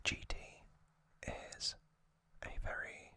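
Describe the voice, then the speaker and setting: An ASMR host of unclear sex whispering an explanatory recap in short, halting phrases, fully hushed. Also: dry recording.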